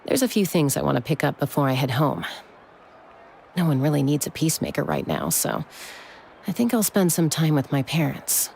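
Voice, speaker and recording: A man's voice: on the high side, big pitch swings, moderate pace, gravelly, noisy background. A man voicing a mild, easygoing young man in an anime game. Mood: casual.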